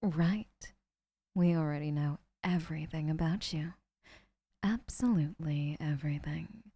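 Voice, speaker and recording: A young woman voicing an adoring, obsessive female kidnapper who gushes softly and often whispers. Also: deep voice, measured pace, husky, exaggerated voice. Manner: soft, gushing, often whispering. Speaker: voice actor. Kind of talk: audio roleplay.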